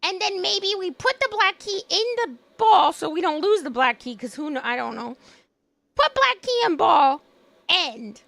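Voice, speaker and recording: A hoarse young woman chats on a live stream, her voice very high and bright. She tells an animated story, often laughing and acting out what people said. The sound is close and dry. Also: moderate pace, no room sound. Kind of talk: casual live-stream chat.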